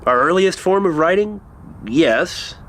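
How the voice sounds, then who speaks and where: A man's voice: high-pitched, animated, measured pace, a bit bright. A man playing a skeptical, studious young nephew in an audio drama, challenging and incredulous. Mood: skeptical curiosity. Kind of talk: dramatized audio fiction acting.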